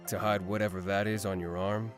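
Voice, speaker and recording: A man's voice: lowish voice, flat delivery, fairly quick, close to an everyday voice. An actor playing a teasing, smug, mysterious man in his twenties in a visual novel, with music behind him. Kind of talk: visual novel character acting. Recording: background music under voice.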